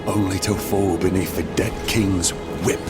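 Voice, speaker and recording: Slow, brooding undead swordsman. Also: low voice, steady tone. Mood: proud, grim. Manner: measured, weighty, brooding. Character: undead master swordsman, bitter proud former warrior. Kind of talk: dramatic video game voice acting. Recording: loud music under the voice.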